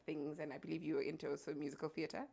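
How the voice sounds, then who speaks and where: A woman with a South African accent hosting a podcast, lively and quick to laugh. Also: sits low, gravelly, breathy edge. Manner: lively, laughing, friendly interjections. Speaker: podcast host. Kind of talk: casual podcast chat.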